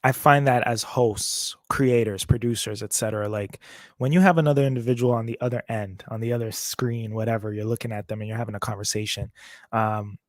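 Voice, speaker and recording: A man's voice: on the low side, fairly even intonation, fairly quick, no room sound, clean studio sound. A man as a guest on a podcast interview, speaking earnestly and searching for words as he goes. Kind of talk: casual conversational interview. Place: remote video call.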